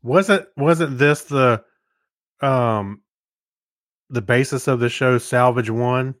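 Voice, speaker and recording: A man's voice: mid-range voice, slow, fairly bright tone, no echo, studio quality. A man in casual conversation as a podcast co-host, tentatively thinking aloud. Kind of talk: casual chat.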